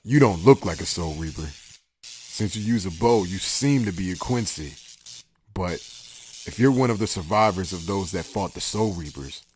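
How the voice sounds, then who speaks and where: A man acts out a laid-back, cocky swordsman for an anime dub in a low voice. He talks casually and mockingly, never ruffled, over faint background noise. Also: expressive, moderate pace, a little mellow. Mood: coolly curious. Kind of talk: anime fan dub acting.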